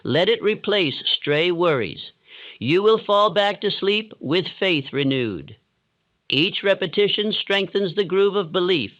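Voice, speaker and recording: Calm guy reading sleep audiobook stuff, slightly high voice, steady and solemn, kinda dull sound. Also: a little slow. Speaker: audiobook-style narrator. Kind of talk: slow scripted motivational sleep narration.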